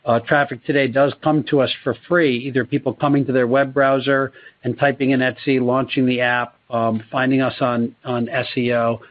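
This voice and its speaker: A man speaking as a company executive answering questions on an earnings call, in a measured way with repeated words. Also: mid-range voice, little pitch movement, no echo. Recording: dull, dry conference call audio.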